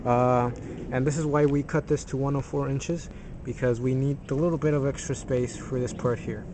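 A man's voice: medium pitch, fairly even intonation, normal speed. A man narrating an informal hands-on tutorial in a plain-spoken, slightly hesitant, self-deprecating way. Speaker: DIY tutorial presenter. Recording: noisy amateur camera audio.

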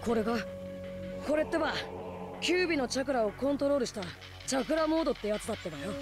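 An actor voicing a brash, earnest young male ninja hero in an anime game, with rough, boyish, informal speech. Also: high-pitched, lively intonation, fast talker. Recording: game dialogue over music.

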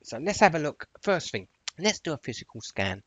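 A man giving a casual, rambling software tutorial in an informal, chatty way as a voice-over. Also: on the high side, big pitch swings, moderate pace, a little hiss or hum. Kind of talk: casual screen-share tutorial walkthrough.

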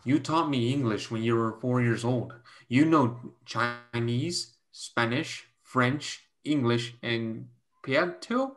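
A man reading the part of a gentle young Chinese mathematician and tutor in a staged play reading, a little haltingly. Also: mid-range voice, natural voice. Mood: hurt, reproachful. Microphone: video call.

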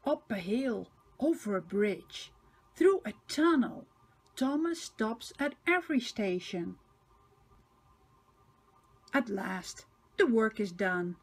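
An older woman narrating a picture book for small children, expressive and emphatic with sing-song repetition. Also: a little high, slow, long pauses, slightly rough. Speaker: storybook reader for children.

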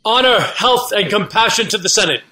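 An earnest captain from a Shakespeare play, voiced by a man, making formal, rhetorical speeches. His voice is forceful and somewhat high. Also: expressive, fairly quick. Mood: earnest pleading. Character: earnest, pleading male Athenian captain or petitioner. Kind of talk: unrehearsed Shakespeare play reading.

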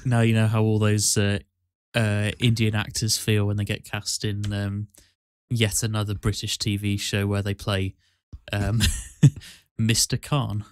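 A man's voice: lowish voice, completely flat delivery, measured pace, clean tone, dry recording, studio quality. A man hosting a podcast in casual, wry, joking chat. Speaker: podcast host.

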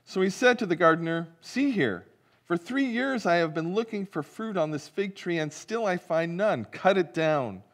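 A man's voice: sits high, expressive, normal speed. A middle-aged man, a pastor, reading the gospel and preaching a sermon in a church sanctuary, telling the story vividly and asking the congregation questions.

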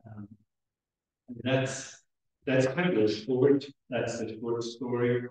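A middle-aged man from Switzerland giving a conference welcome speech as chair, speaking haltingly with frequent hesitant pauses. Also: mid-range voice, steady tone, a little mellow. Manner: halting, hesitant, pausing often. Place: indoor lecture room at a lectern. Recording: very echoey room, far from the microphone.

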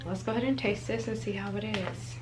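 A woman's voice: mid-range voice, fairly quick, over music, poor audio. A young woman chatting casually at home as a vlogger, with lots of "like" fillers. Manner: chatty, relaxed, with frequent "like" fillers. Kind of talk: casual vlog chat. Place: home, indoors.

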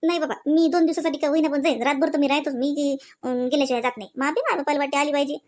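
A woman voicing a shrill-pitched, fast-grumbling young village wife. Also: fairly even intonation, close to an everyday voice. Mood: insistent. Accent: Varhadi Marathi. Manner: complaining, rambling, exasperated. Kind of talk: comedy cartoon dialogue.

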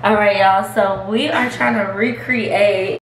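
A woman's voice: medium pitch, fairly even intonation, takes it slowly, background noise. A young woman chatting casually and goofily to camera as a vlogger alongside her friend. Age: young adult. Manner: goofy, informal. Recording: echoey room with loud music behind.

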